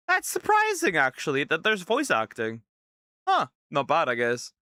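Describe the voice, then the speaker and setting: Excitable high-voiced male streamer. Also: moderate pace, clean tone, dry recording, studio quality. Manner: excitable, incredulous reactions. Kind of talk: let's play commentary.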